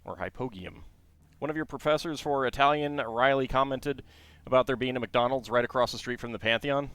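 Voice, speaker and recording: A man's voice: mid-range voice, a little fast, a bit bright, smooth. A man casually chatting to his viewers while building a Lego set, reading facts aloud and talking to chat.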